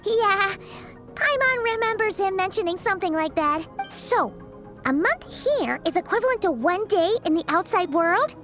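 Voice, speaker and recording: A woman voicing a tiny childlike fairy companion in a video game, squeaky, excitable and easily indignant, with exaggerated delivery. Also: moderate pace. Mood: surprised, curious. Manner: exaggerated, refers to self in third person. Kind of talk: cartoonish video game voice acting. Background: game music.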